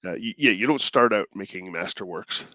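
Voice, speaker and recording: Guy with a stuffy cold voice, lowish, dry close sound, chatting casually and kinda halting. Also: pushed and bright, dry recording. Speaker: indie creator and channel host. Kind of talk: casual commentary to camera.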